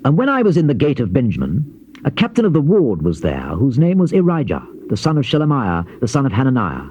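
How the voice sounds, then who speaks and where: A solemn prophet, dramatically swooping delivery. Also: male voice, medium pitch, wildly swooping pitch, mellow. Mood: solemn narration. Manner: solemn, declamatory, measured phrasing with emphatic rises. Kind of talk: dramatized scripture reading, classical stage delivery. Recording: dull lo-fi old cassette, faint hiss.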